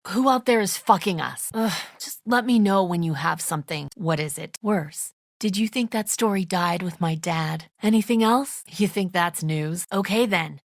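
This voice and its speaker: A woman's voice: fairly low pitch, moderate pace, vocal fry, dry recording. A woman voicing a tense, suspicious and defensive leader of zombie apocalypse survivors in a video game, speaking bluntly with biting sarcasm. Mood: irritated, suspicious.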